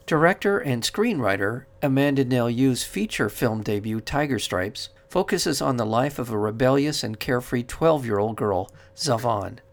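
A man hosting a radio interview show, asking long, earnest questions in a measured way with some restarts. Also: medium pitch.

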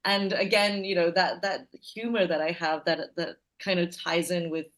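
A woman from Malaysia, a film director being interviewed, talking in an animated way with many restarts and laughs in her voice. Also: normal speed. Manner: animated, laughing, hesitant restarts, lively pitch jumps. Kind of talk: interview answers.

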